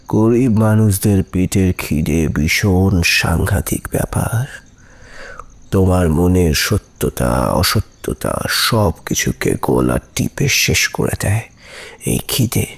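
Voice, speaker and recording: Low, gravelly, scheming older professor. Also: male voice, heavily acted. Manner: deliberate, coldly persuasive. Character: aging, scheming professor who procures girls for traffickers. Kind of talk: Bengali audio drama acting.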